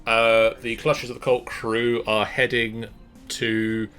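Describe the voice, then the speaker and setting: A middle-aged man hosting a livestream, giving casual announcements with halting pauses. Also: medium pitch, completely flat delivery, fairly bright tone. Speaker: streamer and host. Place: small room at home. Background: faint music.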